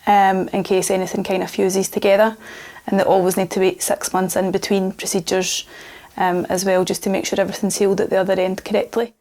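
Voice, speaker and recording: A woman in her mid-thirties explains things calmly and clearly. Her pitch barely moves, which gives her an even tone. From Scotland. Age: 30s. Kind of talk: personal testimony.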